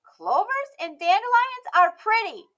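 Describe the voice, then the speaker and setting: A woman's voice: very high voice, big pitch swings, unhurried, a bit bright, voice pushed up, exaggerated voice. A woman voicing a stubborn, eager little girl in a storybook, with an emphatic, pouty, pleading delivery.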